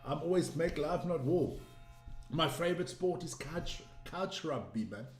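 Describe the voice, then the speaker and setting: A man's voice: fairly high pitch, lively intonation, measured pace, roomy sound, faint music behind. A man with a South African English accent hosting a casual live stream, rambling through sports stats and reading out viewer comments. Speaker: livestream host.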